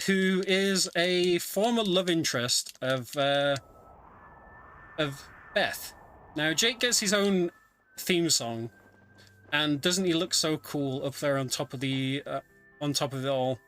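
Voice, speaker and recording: A man giving casual, conversational live commentary on a video game speedrun, often pausing with ums. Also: mid-range voice, bright, forceful tone. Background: game music.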